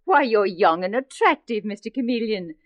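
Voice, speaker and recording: A woman's voice: mid-range voice, lively intonation, normal speed, dry recording, natural voice. An actress playing a flirtatious, gushing married woman in 1940s radio drama style. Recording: old 1940s radio broadcast.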